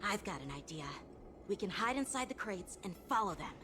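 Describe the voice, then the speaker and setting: A woman's voice: mid-range voice, expressive, a little fast, background noise, close to an everyday voice. A woman voicing an earnest, analytical, slightly proud young boy knight in an anime-style video game. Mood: suspicious. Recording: music behind the dialogue.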